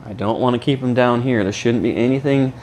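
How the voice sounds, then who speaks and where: A man demonstrating and explaining a vocal warm-up, his voice a little rough from a recent sinus infection. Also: mid-range voice, fairly quick, slight background noise, low-quality recording. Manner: explains while demonstrating sung vowels. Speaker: singing teacher.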